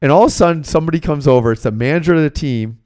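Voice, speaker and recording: An American man hosting a sports podcast as a coach, talking casually and bluntly in an animated storytelling way. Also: on the high side, rapid-fire. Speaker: sports betting podcast host and basketball coach.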